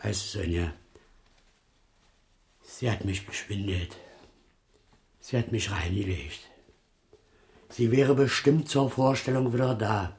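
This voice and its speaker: A man's voice: lowish voice, takes it slowly, long pauses, darkish tone. An actor playing an evasive, frightened circus man making a defensive confession in radio drama style. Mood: confessing, subdued. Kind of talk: 1980s German radio drama acting.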